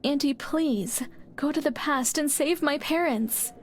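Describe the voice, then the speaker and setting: A woman's voice: high-pitched, a little slow, slight background noise. A woman playing an earnest, pleading young orphaned niece in a game cutscene. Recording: loud game sound behind.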